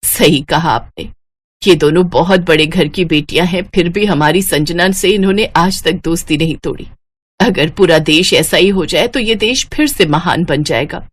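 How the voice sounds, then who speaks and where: A woman voicing a kindly, humble middle-aged village mother in a moral story, gentle and homely. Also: fairly low pitch, moderate pace, husky. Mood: grateful. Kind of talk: moral story character acting.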